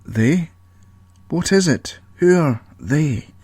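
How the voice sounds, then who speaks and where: A man's voice: on the high side, animated, slow, a little mellow, breathy edge, no echo, voice pushed up, full character voice. A man voicing a frustrated, impatient trapped character in an audio story, pleading and demanding answers. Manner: exclamatory, demanding questioning.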